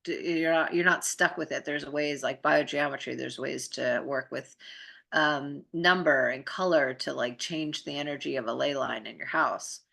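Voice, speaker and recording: A woman's voice: low voice, moderate pace, vocal fry, fairly bright tone. A woman chatting casually and informally, explaining things as a guest in a conversation. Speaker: talk guest. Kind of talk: casual chat, explaining energy practices.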